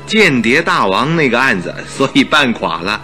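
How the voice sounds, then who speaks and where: A man's voice: mid-range voice, big pitch swings, moderate pace, sharp tone, faint music behind. A man playing a wry, teasing detective's companion in a Mandarin radio drama. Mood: teasing, rueful.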